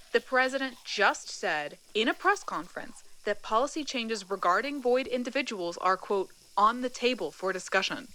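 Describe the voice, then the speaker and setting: Bright, indignant political commentator. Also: female voice, a little high, low-quality recording. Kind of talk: commentary in audio drama.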